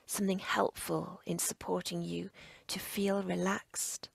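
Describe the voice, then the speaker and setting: A woman with a breathy, husky near-whisper guides a relaxation meditation as a yoga nidra instructor, in short, measured, soothing phrases. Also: fairly low pitch, level tone, dry recording.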